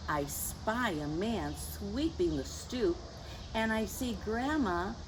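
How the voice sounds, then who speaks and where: A woman reading a picture book to young children in a gentle, teacherly way, with chatty asides to her listeners. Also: medium pitch, slight background noise, low-quality recording. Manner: gentle teacherly address, tag questions to the listeners. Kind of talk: read-aloud story time for young children with chatty asides. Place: outdoors.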